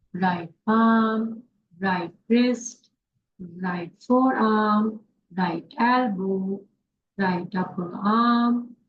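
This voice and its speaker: A woman yoga instructor leading a guided relaxation meditation in short instructions with pauses between them. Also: medium pitch. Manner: slow, pausing instructions in short phrases. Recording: dull lo-fi sound, faint background noise.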